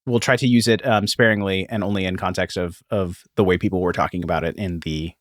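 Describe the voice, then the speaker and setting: A man's voice: low-pitched, steady tone, fast talker, no room sound. Dry-recorded man explaining things conversationally. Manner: explanatory, interjects asides. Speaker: podcast host. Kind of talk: conversational history podcast with read-out quotes.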